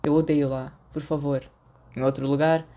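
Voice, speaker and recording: A Brazilian woman voicing a weary, gruff, sardonic teenage schoolboy in an audiobook story. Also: low voice, little pitch movement, moderate pace, warm tone, voice pushed down, close to an everyday voice. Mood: irritated. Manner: blunt, reluctant.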